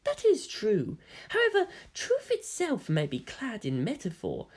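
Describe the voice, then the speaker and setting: A woman voicing a calm, cryptic young male hybrid who speaks in a soft, measured, quietly mocking way. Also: fairly high pitch, pitched above the performer's own voice, full character voice.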